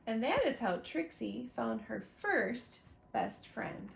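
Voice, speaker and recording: A woman reads a picture book to children with very lively, swooping intonation and a slow pace. Her delivery is expressive and full of asides to her young listeners. The room has a noticeable echo. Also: a little high, creaky. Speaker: librarian or teacher reading a picture book aloud. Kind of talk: animated children's storybook read-aloud with asides. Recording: roomy echo, faint background noise.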